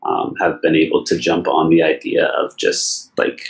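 A man's voice: a bass voice, moderate pace, creaky, faint music behind, poor audio. A man with a Canadian accent hosting a casual interview, talking in an enthusiastic, effusive, rambling way. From Nova Scotia, Canada. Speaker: interview host.

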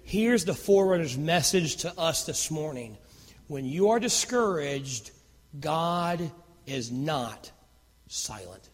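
Loud, high-voiced preacher man in a reverberant hall. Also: expressive, takes it slowly. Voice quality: naturally loud voice. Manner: swings from loud fervor to hushed near-whispers. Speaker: pastor. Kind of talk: sermon.

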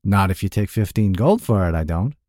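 A gruff, skeptical middle-aged shopkeeper, put on by a male narrator, blunt and crude, with his voice leaping up in disbelief. The tone is dark and mellow, and the reading sounds close and dry. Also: low voice, lively intonation, a little fast, no room sound. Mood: gruff, incredulous.

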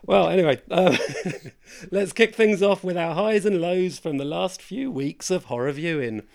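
Croaky, gravelly male podcast host. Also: sits high, measured pace, a little mellow. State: croaky, hoarse voice. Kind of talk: casual horror podcast chat.

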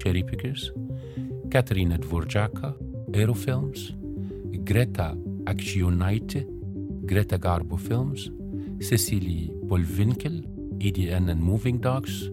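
A man reading a scripted podcast introduction as its host. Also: low voice. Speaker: podcast host, festival artistic director. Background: music behind the voice.

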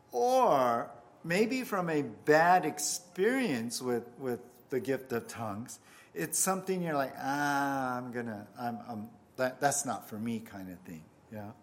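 A man whose first language was Japanese, a pastor opening with prayer and then giving a conversational, self-deprecating sermon full of restarts. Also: on the high side.

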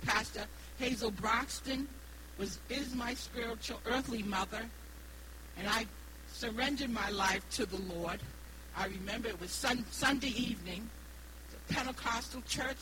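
A woman in her sixties with a New England accent preaches haltingly, repeating phrases and stressing key words. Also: on the high side. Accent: New England American. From Rhode Island, United States. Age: 60s. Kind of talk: evangelical sermon with personal testimony. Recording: noisy live recording with hum.

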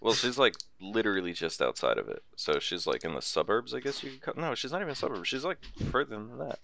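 Very deep gravelly man in casual chat. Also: animated, quick pace, sharp tone, a little hiss or hum. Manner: loose, joking, swears casually. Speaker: podcast co-host.

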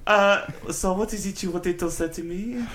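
A man voicing a sweet, caressing French woman in a comic skit, talking quickly and playfully. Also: sits high, a loud crowd, pitched above the performer's own voice, natural voice. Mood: coy.

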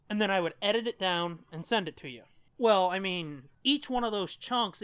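A man's voice: sits high, sharp tone, no room sound. A man co-hosting a podcast in casual, rambling and casually profane chat. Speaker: podcast co-host.

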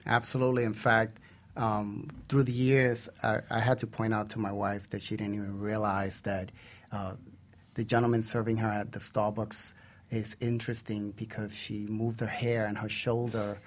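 A man's voice: lowish voice, flat delivery, normal speed. A man co-hosting a radio talk show in a conversational, bantering style.

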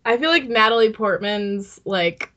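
Unhurried woman, slightly dull lo-fi sound. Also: a little high, fairly bright tone. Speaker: podcast co-host. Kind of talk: casual chat.

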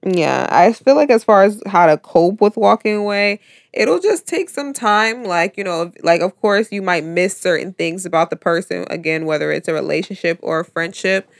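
Blunt bossy woman with a gravelly low voice. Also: lively intonation, moderate pace, no echo, studio quality. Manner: blunt, bossy, swears freely. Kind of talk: casual chat.

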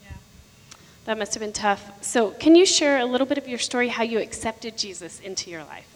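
A woman's voice: fairly high pitch, animated, fairly quick. A woman interviewing guests on stage and asking encouraging questions. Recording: long reverb of a hall.

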